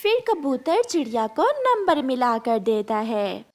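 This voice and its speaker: A woman's voice: high-pitched, expressive, slow pace, darkish tone. A woman narrating a scripted children's story.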